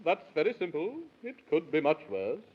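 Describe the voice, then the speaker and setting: A man's voice: fairly high pitch, very animated, moderate pace, husky. A man playing a fussy, bossy, pompous royal Prime Minister who talks in rhyme in a 1930s children's radio serial. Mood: bossy, scolding. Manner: pompous, singsong rhyming. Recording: muffled 1930s radio recording.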